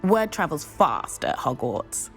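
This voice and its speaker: A woman's voice: low voice, huge pitch swings, takes it slowly, gravelly, a bit bright, faint music behind, full character voice. An actress playing an adventurous, easygoing teenage witch in a video game, talking in a playful, conversational way. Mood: amused. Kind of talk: video game character dialogue.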